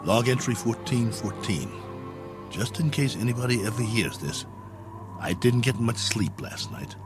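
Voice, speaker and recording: A man's voice: medium pitch, animated, unhurried, natural voice. An actor playing a lonely, stranded astronaut colonel in an old television drama, brooding and wry as he muses to himself. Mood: weary musing. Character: stranded astronaut colonel, weary, lonely, anxious but dogged. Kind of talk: 1960s television drama acting, monologue log entries. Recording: old television soundtrack with music underneath.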